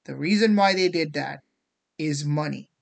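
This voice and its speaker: Person w american accent, bit of indian slipping thru, mid pitch, sarcastic dramatic rant, swears casually. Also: moderate pace, creaky. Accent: American with traces of Indian. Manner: theatrical, sarcastic, swearing, acts out mock quotes. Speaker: host of the show.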